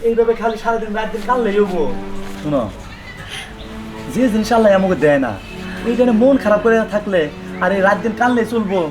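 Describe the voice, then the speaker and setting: Man as a quick-talking, consoling village husband. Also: high voice, natural voice. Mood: consoling, sorrowful. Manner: earnest, consoling. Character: patient, consoling village husband longing for a child. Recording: music behind the voice.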